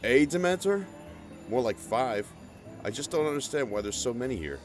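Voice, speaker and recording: A man's voice: mid-range voice, expressive, moderate pace, over music, lightly acted. A man playing a shaken, kindly old mall Santa in a radio drama.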